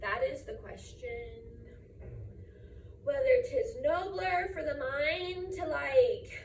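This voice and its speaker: A woman acts a bored, inarticulate sister in a stage monologue. She drags the words out slowly and indifferently, padding them with slang. The sound is echoey, with faint noise. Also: high-pitched, expressive, full character voice. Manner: drawling, indifferent, filler-laden. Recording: roomy, distant mic, faint noise.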